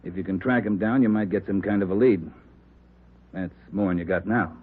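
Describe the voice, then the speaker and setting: An American actor playing a gruff, demanding veteran police captain in an old radio drama, speaking curtly. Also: male voice, sits low, a little fast, slight background noise, lightly acted. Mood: brusque, demanding. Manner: brusque, curt. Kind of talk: 1950s radio drama acting. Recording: thin, tinny old radio broadcast.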